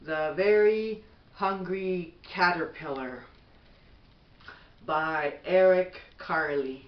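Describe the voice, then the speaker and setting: A middle-aged woman reads a bedtime storybook at an unhurried pace. She is some distance from the camera mic in an echoey room. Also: fairly low pitch, dull audio. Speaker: mother reading a bedtime story. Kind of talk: slow storybook read-aloud. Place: small bedroom at home, indoors. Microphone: camera a few feet away.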